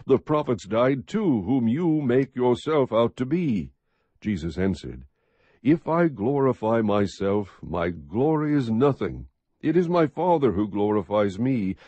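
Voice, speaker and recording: Husky, expressive male scripture narrator. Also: medium pitch, dry recording, dull audio. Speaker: audiobook narrator. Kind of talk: scripted scripture reading, non-dramatized narration.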